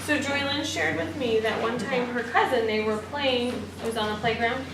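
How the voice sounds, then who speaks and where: Higher-voiced woman teacher, distant and roomy. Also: normal speed. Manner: encouraging, question-led. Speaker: teacher in training. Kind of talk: leading an interactive read-aloud discussion. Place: indoor room with background noise. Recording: roomy, distant mic, noisy classroom.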